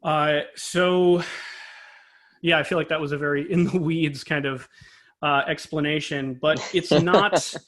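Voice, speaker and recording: Higher-pitched man explaining technical detail. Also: steady tone, a little slow, fairly bright tone. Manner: analytical, explanatory, careful with wording. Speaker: interview guest, composer and conductor. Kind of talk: informal technical explanation in an interview.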